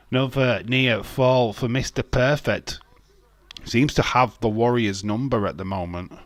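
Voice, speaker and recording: A man's voice: mid-range voice, slow, pauses often, no echo. A middle-aged man with a Yorkshire accent, hosting a casual watch-along stream, chatting loosely, reading viewer comments and laughing often between rambling restarts. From Yorkshire, England. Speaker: livestream host.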